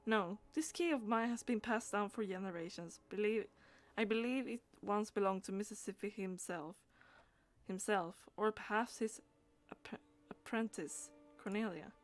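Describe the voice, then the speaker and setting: A woman game streamer with a mid-pitched voice, talking through her play and reading dialogue aloud. Her delivery is halting, with stumbles and repeated words.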